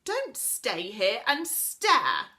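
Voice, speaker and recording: Lady doing a snooty little girl, loud and huffy, bright voice. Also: medium pitch, sing-song, normal speed. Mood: indignant. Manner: loud, indignant. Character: prim, rude young girl.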